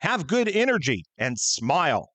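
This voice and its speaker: A man playing a friendly, chatty North Pole radio station worker, brisk and encouraging, in a comic Christmas radio play. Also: on the high side, very animated, fairly bright tone, dry recording, heavily acted.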